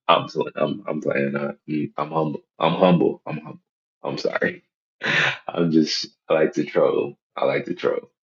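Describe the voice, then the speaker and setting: Very deep-voiced young man, slangy and joking. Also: steady tone, a little slow, vocal fry, muffled recording. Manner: slangy, profane, joking, repeats phrases. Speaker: reaction video host. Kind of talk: casual unscripted reaction commentary.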